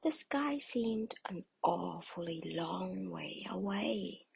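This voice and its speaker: A woman reading a children's picture book aloud in expressive, breathy scripted narration. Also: lowish voice, slow, no echo. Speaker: storybook reader.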